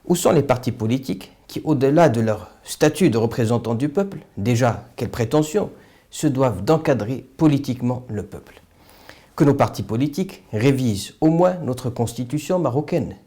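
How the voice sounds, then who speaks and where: Mellow-voiced man, formal commentary. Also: mid-range voice, animated, normal speed. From Morocco. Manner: formal, literary, rhetorical questioning. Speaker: political commentator, video host. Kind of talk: scripted opinion monologue.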